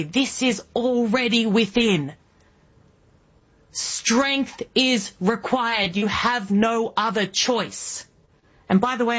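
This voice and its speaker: Husky Australian woman hosting talk radio. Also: fairly high pitch, muffled recording. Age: early forties. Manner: emphatic, rhetorical questions. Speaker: guest radio host. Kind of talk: political talk radio monologue.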